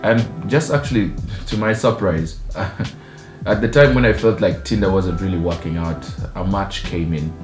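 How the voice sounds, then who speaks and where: A young man from Uganda co-hosting a couple's channel, telling their story in a relaxed, chatty way and laughing often. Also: low-pitched, unhurried. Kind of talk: casual storytelling chat. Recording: echoey room with guitar music.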